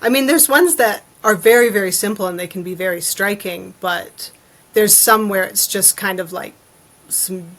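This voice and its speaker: A woman with a medium-pitched voice talks as a guest on a podcast. She is casual and understated, feeling her way through her thoughts tentatively. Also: moderate pace, fairly bright tone. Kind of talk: casual chat.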